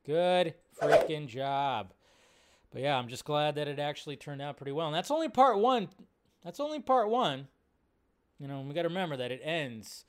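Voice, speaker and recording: A man's voice: mid-range voice, clean tone. A man hosting a livestream, giving casual, enthusiastic and exclamatory reaction commentary in an informal way. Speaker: livestream host.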